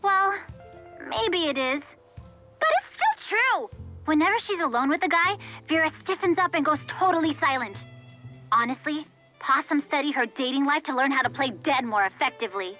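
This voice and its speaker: An actress playing a chatty, gossipy young woman in a video game, dramatic and snarky with drawn-out emphasis. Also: sits high, expressive, bright, forceful tone. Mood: exasperated, gossipy. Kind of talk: animated video game voice acting. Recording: studio voice over background music.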